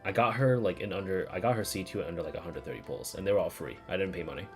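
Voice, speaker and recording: A low-pitched man talks casually through his game on a stream. He rambles informally and swears now and then, while music plays prominently under his voice. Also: very fast. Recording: music playing behind the voice.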